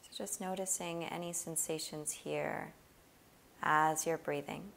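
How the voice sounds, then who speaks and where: A woman leading a guided body scan meditation, giving gentle, unhurried instructions with long pauses. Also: low-pitched, fairly even intonation, vocal fry, a little hiss or hum.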